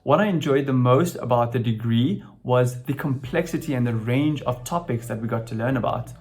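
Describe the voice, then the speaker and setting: A man's voice: medium pitch, moderate pace, darkish tone. A man in his twenties from South Africa and Saudi Arabia, giving a personal testimonial as a recent graduate. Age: 20s.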